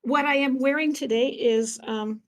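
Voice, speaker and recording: A woman talking as a podcast guest from an office, animated and quick to laugh. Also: high voice. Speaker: podcast guest, event organizer. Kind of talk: casual podcast conversation.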